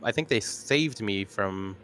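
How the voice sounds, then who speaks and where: A man's voice: lowish voice, lively intonation, measured pace, fairly bright tone. A man chatting casually as a player in a tabletop roleplaying game. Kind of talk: casual chat.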